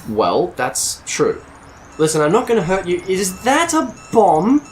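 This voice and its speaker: A man plays a wary, wisecracking pilot in a sci-fi audio drama. His delivery is expressive and swings widely in pitch, jittery yet bossy, with faint noise behind. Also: unhurried, full character voice. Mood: alarmed. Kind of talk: scripted audio drama acting.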